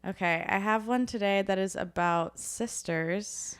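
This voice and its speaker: A woman's voice: medium pitch, unhurried, smooth. A young woman co-hosting a podcast in casual chat, tired, joking and swearing freely. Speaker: podcast co-host.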